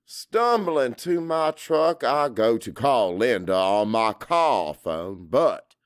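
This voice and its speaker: A man narrating first-person fiction as an audiobook, dramatic and deliberate in his phrasing, with pauses. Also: medium pitch, dry recording, studio quality.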